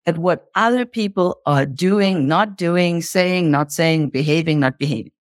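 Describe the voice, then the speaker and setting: A woman's voice: fairly low pitch, unhurried, no room sound, clean studio sound. A woman speaking as an interview guest, explaining in an impassioned motivational style and repeating phrases for emphasis.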